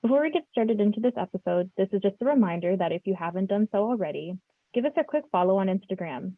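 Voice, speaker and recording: A young woman hosting a casual podcast chat, talking in a relaxed way with lots of fillers. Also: mid-range voice, fast talker, no room sound, narrow, tinny audio. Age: young adult. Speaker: podcast host.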